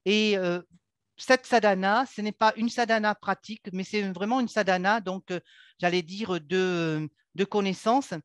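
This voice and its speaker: A woman who teaches yoga, talking earnestly and haltingly about her practice, with many filler sounds and restarts. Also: medium pitch, no echo, studio quality. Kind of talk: unscripted personal account.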